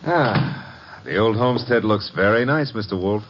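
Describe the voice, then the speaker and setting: A man's voice: on the low side, animated, measured pace. An actor playing a breezy, flirtatious detective's legman, delivering slangy, sardonic lines with swagger in a radio mystery. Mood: wry, teasing. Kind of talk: 1950s radio detective acting. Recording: muffled, noisy vintage radio recording.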